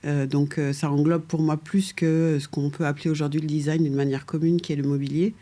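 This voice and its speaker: Mellow middle-aged woman, halting speech. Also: deep voice, flat delivery. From France, raised in Paris from age two. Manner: hesitant, many fillers and restarts, laughs lightly. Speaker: guest architect. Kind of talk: casual conversational interview.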